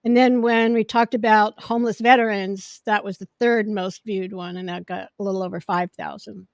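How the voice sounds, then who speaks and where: A woman hosting a podcast in casual chat, with a loud voice that projects when she gets excited. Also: mid-range voice, normal speed, no echo, highs cut off. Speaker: podcast host. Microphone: podcast microphone.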